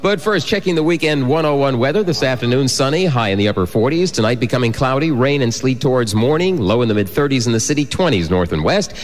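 A man's voice: mid-range voice, expressive, quick pace, few pauses. An American man reading a scripted radio bulletin of news, sports and weather. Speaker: radio newsreader. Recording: long reverb, 1990s FM radio broadcast.

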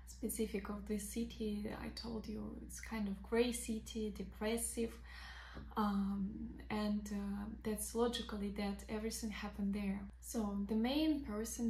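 A young Russian woman, a teacher of literature, talking to camera in English with a Russian accent, halting with many fillers and simple grammar. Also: medium pitch, gravelly, darkish tone, reverberant, slight background noise. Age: young adult. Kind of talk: casual talk to camera. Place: bright bedroom by big windows, indoors.

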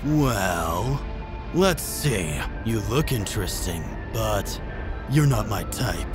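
A cold-blooded, cocky anime assassin voiced by a man speaks in a lowish pitch over prominent background music. He is nonchalant and taunting, casually cruel in everything he says. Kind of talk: amateur anime manga dub acting.

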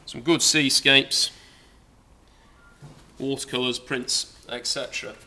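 Young auctioneer, chatty and hesitant. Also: male voice, medium pitch, steady tone, takes it slowly, pushed and bright. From England. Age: young adult. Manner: chatty, hesitant with many fillers. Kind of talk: informal walkaround sales preview. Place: large indoor auction saleroom.

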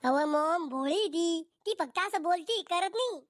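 A man's voice: very high voice, animated, unhurried, fairly bright tone, no room sound, clean studio sound, exaggerated voice. A man voicing a defiant, lovestruck college boy in a comic cartoon, stubborn and pleading, with a Vidarbha Marathi accent.

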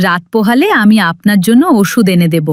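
A woman playing a devout, caring village wife in a cartoon, expressive and solicitous. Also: mid-range voice, a little fast, no echo, clean studio sound, natural voice. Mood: worried, caring. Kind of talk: animated moral story dialogue.

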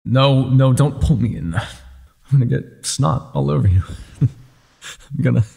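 A man playing an older ex-mafia boyfriend, wry, tender and self-doubting, with a husky, breathy voice in an intimate roleplay audio. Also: medium pitch, unhurried, mellow, full character voice. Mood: tearful, self-doubting.

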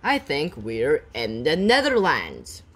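A calm young boy with a wry streak, from a children's storybook, as a woman reads his lines. His remarks come dry and unhurried in a low voice. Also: breathy edge, heavily acted.

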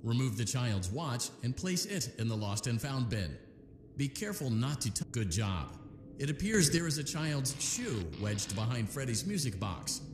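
An actor playing a calm, upbeat male voice that gives repair instructions in a video game. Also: medium pitch, moderate pace, noisy background, close to an everyday voice. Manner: calm, upbeat corporate training tone. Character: friendly male corporate repair-training voice. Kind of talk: scripted game voice-over instructions. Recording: echoey reverb with game music behind.

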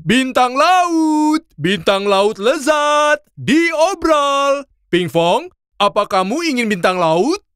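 Friendly cartoon whale seller, very smooth, drawn-out calls, dry studio sound. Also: female voice, high voice, very animated, a bit bright, no room sound, full character voice. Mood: inviting. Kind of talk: children's cartoon acting.

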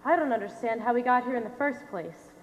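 A young woman playing an earnest, protective, easily exasperated doctor in a high school stage play. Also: a little fast, highs cut off, slight background noise, close to an everyday voice. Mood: worried, protective. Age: young adult. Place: theatre stage, recorded from the audience. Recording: echoey theatre hall.

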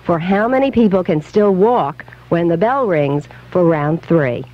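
A woman's voice: lowish voice, expressive, a little slow. A woman reading a scripted, humorous radio commentary as a food and leisure columnist, wry and rhetorical. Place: radio studio. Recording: slightly dull 1970s radio recording.

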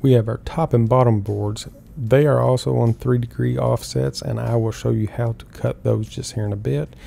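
A middle-aged man calmly explaining woodworking steps one at a time in an instructional voice-over. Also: medium pitch, normal speed, creaky, soft background music. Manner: calm, step-by-step explaining. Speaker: woodworking host.

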